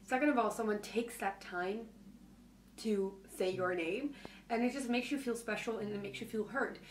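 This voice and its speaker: Low-voiced woman in a distant echoey replay. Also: moderate pace, a little hiss or hum. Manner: hesitant, lots of fillers. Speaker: advice video host. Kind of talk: casual advice video. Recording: video replayed into a room mic, echoey and distant.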